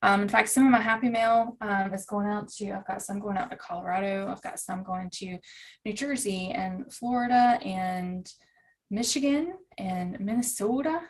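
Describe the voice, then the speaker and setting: A woman's voice: medium pitch, normal speed. A woman with a strong Southern American accent talks in a mid-range voice. She hosts an online planning community and speaks to viewers like a coach among friends. Her delivery is informal, folksy and encouraging. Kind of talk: casual chat to online viewers.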